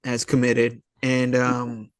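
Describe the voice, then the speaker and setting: Halting, laid-back American man in his thirties. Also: medium pitch, monotone, no room sound. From Long Island, New York, USA. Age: 30s. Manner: halting and searching, laid-back slangy, often saying "man" and "you know". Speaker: guest, actor. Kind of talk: casual interview chat.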